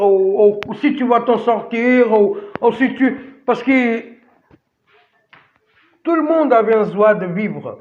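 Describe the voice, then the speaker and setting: A man who moved to France and speaks French as a second language, delivering an emphatic, repetitive rant straight to his listeners with many restarts. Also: very high voice. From outside France. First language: not French. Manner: addresses listeners directly. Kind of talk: ranting monologue to camera. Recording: dull lo-fi recording.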